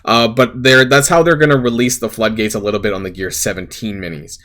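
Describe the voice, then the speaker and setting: A man hosting a gaming channel, rambling through casual commentary with lots of fillers and self-corrections, his voice slightly raspy. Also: fairly low pitch, fairly even intonation, brisk, a bit bright.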